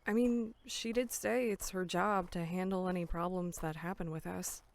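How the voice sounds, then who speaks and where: A woman's voice: lowish voice, steady tone, normal speed, creaky. A woman playing an earnest, serious young female camp counselor in a horror audio drama, polite but insistent.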